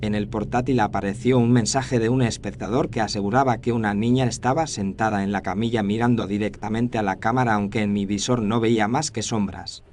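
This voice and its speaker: A man's voice: on the low side, fairly even intonation, fairly quick, few pauses, darkish tone. A man reading a scripted first-person horror story as its narrator. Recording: faint noise and ambience behind narration.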